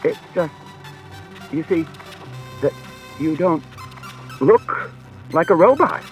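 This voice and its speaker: A man's voice: on the high side, huge pitch swings, slow pace, fairly bright tone, soft background music, pitched above the performer's own voice, exaggerated voice, rough recording. A man doing a flustered, anxious detective.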